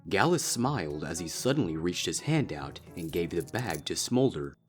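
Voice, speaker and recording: A man's voice: sits low, sing-song, moderate pace, soft background music. A man reading a scripted fan fiction story aloud as its narrator.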